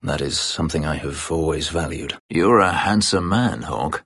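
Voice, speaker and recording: Low husky elf fugitive, bitter, proud, guarded, terse formal speech, fantasy video game, close dry sound. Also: male voice, moderate pace, a bit bright, no echo, heavily acted. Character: brooding, bitter escaped elf slave warrior, guarded and proud.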